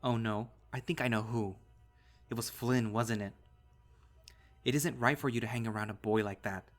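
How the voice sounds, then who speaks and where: This is a man performing a hot-tempered animal father in an audio drama. He scolds with scorn and accusation, stern and forceful, over a music bed. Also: medium pitch, fairly even intonation, normal speed, lightly acted. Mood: accusing.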